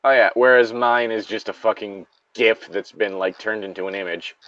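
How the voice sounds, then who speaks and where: A man's voice: on the low side, steady tone, normal speed, fairly bright tone, no echo, highs cut off. Sweary man chatting casually, dry close sound. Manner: sweary, jokey. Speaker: co-host reading horror stories in a group. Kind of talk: casual group chat.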